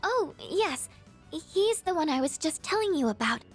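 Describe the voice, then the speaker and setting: Soft shy schoolgirl, super high voice, hesitant, some music behind. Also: sing-song, normal speed. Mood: friendly.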